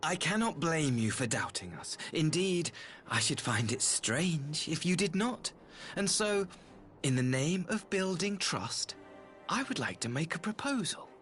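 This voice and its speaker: An actor playing a courteous, calculating imperial ambassador in a video game, speaking with formal, eloquent, theatrical archaic diction. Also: male voice, on the high side, very animated, measured pace, fairly bright tone. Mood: measured, diplomatic. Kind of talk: scripted video game voice acting. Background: background music.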